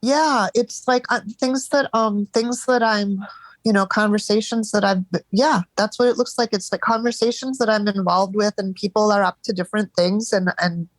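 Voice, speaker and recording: A woman in a live therapy session over a call, speaking haltingly and searching for words, stuffed up from crying earlier. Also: medium pitch, steady tone, no echo. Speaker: therapy client.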